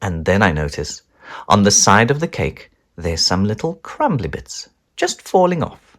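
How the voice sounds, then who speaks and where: A man reads a children's story aloud with theatrical flair. His pitch swings widely, yet he takes his time over each phrase. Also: very animated, unhurried, a bit bright. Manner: theatrical, with whispers and chanted sound words. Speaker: storybook reader.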